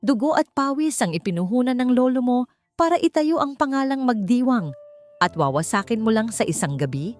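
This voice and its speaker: A woman's voice: on the high side, very animated, brisk, a little mellow, no echo, soft background music, pitched above the performer's own voice. A woman voicing a stern, wealthy father in an audiobook drama, curt, authoritative and scolding. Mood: angry scolding. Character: stern, wealthy father, cold commanding patriarch.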